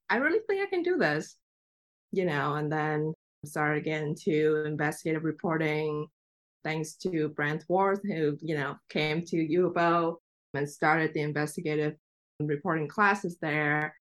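A woman's voice: low-pitched, a bit bright. A young woman from Vietnam whose first language is Vietnamese, telling her story in a chatty, reflective way with light laughs. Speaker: guest journalist. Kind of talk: casual interview talk.

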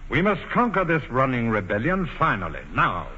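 An actor playing a scheming lord counsellor, formal and oratorical, with a raspy voice, in 1940s radio theatre style. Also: male voice, medium pitch, very animated, moderate pace, bright, forceful tone, full character voice. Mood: urgent, formal. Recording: muffled vintage 1940s radio broadcast.

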